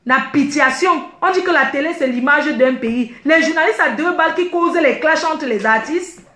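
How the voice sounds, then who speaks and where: A high-pitched woman speaks, her delivery indignant and emphatic as she keeps circling back to her points. She addresses her viewers directly. The voice rings in a reverberant room. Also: normal speed, a bit bright. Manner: indignant, emphatic, repeats herself. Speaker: online video commentator. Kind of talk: heated personal rant to viewers. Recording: echoey room.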